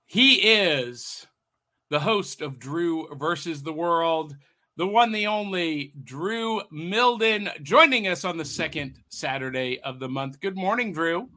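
A man's voice: on the high side, expressive, slow pace, sharp tone. A man hosting a casual podcast over a video call, upbeat and giving his guests big buildups. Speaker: podcast host.

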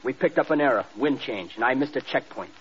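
An actor playing an earnest young lieutenant navigator in a radio drama, clipped and deferential. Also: male voice, medium pitch, animated, fast talker, a bit bright, breathy edge. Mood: contrite. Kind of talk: classic American radio drama acting. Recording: dull, noisy old radio recording.

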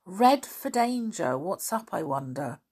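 A woman reading a storybook and voicing an eager schoolboy who speaks in a raised, excited voice. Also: a little slow, husky, full character voice. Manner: excited, high-pitched.

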